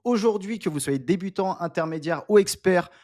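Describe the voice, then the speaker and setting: A man hosting an online interview, upbeat and energetic as he presents and asks questions. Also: high-pitched, normal speed, dry recording, studio quality. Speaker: host.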